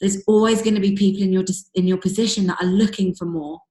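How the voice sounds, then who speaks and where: Husky woman on a video call. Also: mid-range voice, steady tone, fast, warm tone. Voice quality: husky, losing her voice. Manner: chatty, many fillers like "um" and "you know". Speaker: team leader coaching sales recruits. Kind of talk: casual motivational coaching talk. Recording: echoey room over a video call.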